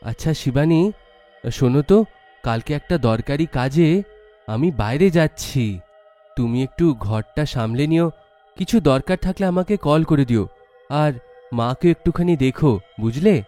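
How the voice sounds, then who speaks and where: A man's voice: on the high side, unhurried, long pauses, mellow, soft background music, close to an everyday voice. A man playing a caring, patient son and husband in a story, mild and soothing.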